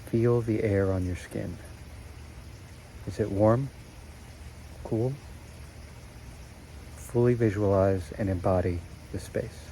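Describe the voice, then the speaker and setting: A man narrating a guided meditation in a slow, soothing way with long pauses. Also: on the low side, fairly even intonation, warm tone, slight background noise, rough recording.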